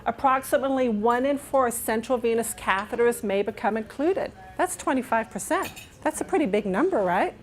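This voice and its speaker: Chatty upbeat woman presenter, higher voice. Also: normal speed, faint music behind. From England. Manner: upbeat and chatty, asks audience for agreement. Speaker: nurse educator. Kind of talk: conference presentation. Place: dining room with large windows.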